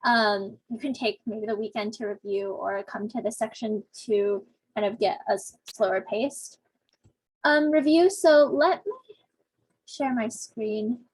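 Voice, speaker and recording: A woman's voice: a little high, measured pace, darkish tone, husky, no room sound, low-quality recording. Chatty young woman TA on a video call. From Southern California. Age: young adult. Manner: chatty, filler-heavy, self-deprecating. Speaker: teaching assistant. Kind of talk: casual online discussion section intro.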